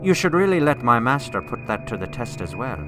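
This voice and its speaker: A sly tempter from the dark side in a biblical radio play, acted by a man. The delivery is insinuating and needling, the manner of a scheming servant of evil. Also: medium pitch, sing-song, a little fast, over music, close to an everyday voice. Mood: sly, goading. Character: sly male tempter spirit serving a dark master. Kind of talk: radio drama acting.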